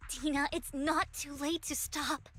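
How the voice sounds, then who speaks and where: High, earnest young heroine. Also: female voice, fairly even intonation, measured pace, a bit bright. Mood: pleading. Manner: firm, pleading, earnest delivery. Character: earnest, resolute young heroine confronting a former companion. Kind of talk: dramatic anime video game voice acting. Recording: music behind the voice.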